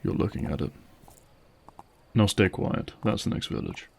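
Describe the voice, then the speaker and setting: A man's voice: low-pitched, big pitch swings, normal speed, gravelly. A man playing a terse, dryly guarded vampire hunter in a fantasy audio roleplay. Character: wry, guarded male vampire hunter, gruff protective ally.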